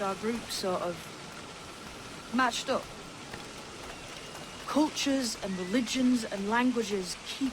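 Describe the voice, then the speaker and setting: A frank young assassin, noisy surroundings. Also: male voice, sits high. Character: young assassin, devout believer in liberty, frank and calm. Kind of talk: video game cutscene acting. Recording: clear ambient noise behind the voice.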